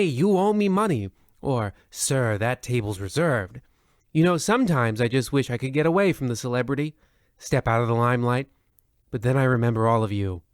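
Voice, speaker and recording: A man's voice: medium pitch, dry recording, natural voice. Man playing a pompous radio host, very dry sound. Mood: smug. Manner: pompous, wryly rambling. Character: self-important, deluded male radio host and head writer. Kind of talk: old-time radio anthology host monologue.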